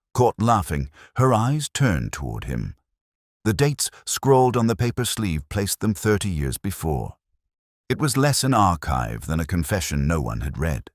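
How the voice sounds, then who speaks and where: A man reads scripted first-person detective fiction as narrator, in a measured, reflective, literary way. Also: very deep voice, no room sound, studio quality.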